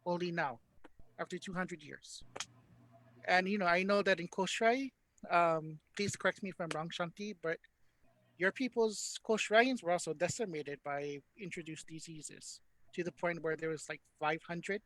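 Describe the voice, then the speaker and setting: A man's voice: high-pitched, moderate pace, a bit bright, rough recording. A man from Hawaii, Hawaiian his first language, hosting a panel discussion in an earnest, thoughtful way with many fillers. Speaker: panel host.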